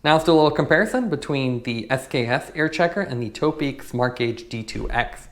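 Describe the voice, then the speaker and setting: A man's voice: mid-range voice, expressive, brisk, soft background music. A man giving a casual, plain-spoken product review, explaining and demonstrating features in a matter-of-fact way.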